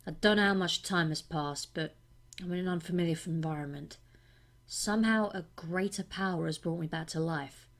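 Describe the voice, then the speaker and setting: A woman playing a bewildered, revived astronaut in a sci-fi monologue, wondering and pausing often. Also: lowish voice, natural voice.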